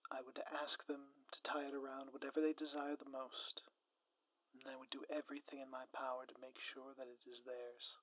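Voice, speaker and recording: A man's voice: medium pitch, level tone, normal speed, fairly bright tone, dry recording, lightly acted, poor audio. Theatrical villain played by a man, close and dry. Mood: tender, hesitant. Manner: grandiloquent, theatrical, florid formal wording. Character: theatrical male supervillain, scheming, secretly lovestruck doting spouse. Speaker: audio roleplay performer. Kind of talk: scripted ASMR roleplay, direct address to listener.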